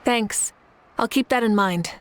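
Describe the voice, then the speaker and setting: A woman's voice: on the high side, a little slow, a little hiss or hum, natural voice. A woman voicing a composed commanding leader. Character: confident adult female leader, calm and amused. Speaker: game character.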